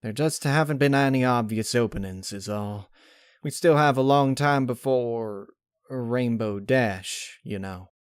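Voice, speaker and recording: A man voicing a folksy, steady female farm pony with a plain-spoken, reassuring manner. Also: medium pitch, a little slow, clear, resonant tone, no room sound, clean studio sound, natural voice.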